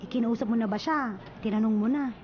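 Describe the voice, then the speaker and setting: A Filipino actress playing a blunt, nosy, scolding best friend in a brash radio drama style. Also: female voice, on the high side, fast talker, close to an everyday voice. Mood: concerned. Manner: brash, teasing and exclamatory. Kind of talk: Philippine radio drama acting. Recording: dull radio recording with background noise.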